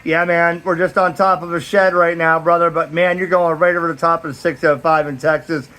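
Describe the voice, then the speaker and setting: Higher-voiced man on a noisy outdoor recording. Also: little pitch movement, a little fast. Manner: folksy, friendly radio jargon. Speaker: CB radio operator and vlogger. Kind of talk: casual vlog narration and radio calls. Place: outdoors in a backyard.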